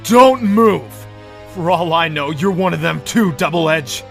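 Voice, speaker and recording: Distraught pony prince played by a man, highish voice, animated, agitated delivery, audio drama. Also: unhurried, vocal fry, soft background music. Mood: furious, threatening. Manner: forceful, agitated. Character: angry, distraught stallion prince defending himself.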